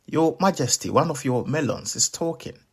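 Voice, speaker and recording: An excitable royal guard from a storybook, acted by a man, exclaiming in astonishment. The voice is raised and rough-edged. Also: a little high, moderate pace, voice pushed up, heavily acted. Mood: startled. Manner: startled, exclamatory.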